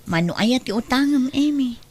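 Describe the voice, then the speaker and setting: A woman's voice: sits high, expressive, normal speed, a little mellow, husky. A woman acting as a thrifty neighbor who is reluctant to lend money again, in an audio drama. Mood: wary. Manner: wary, reluctant.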